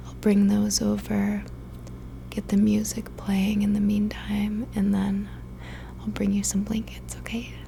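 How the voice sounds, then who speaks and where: Slow, whispering ASMR woman. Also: medium pitch, a little hiss or hum. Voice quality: soft, breathy whisper. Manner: gentle, soothing, unhurried. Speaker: ASMR roleplayer. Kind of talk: whispered ASMR roleplay as a caring friend.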